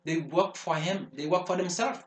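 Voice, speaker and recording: A man plays a fervent preacher, hammering his points in repeated phrases. His voice sits high for a man. The room rings with echo around him. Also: moderate pace, natural voice. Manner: emphatic, repetitive preaching cadence. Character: fervent male preacher warning of the devil. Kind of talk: sermon. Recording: roomy echo.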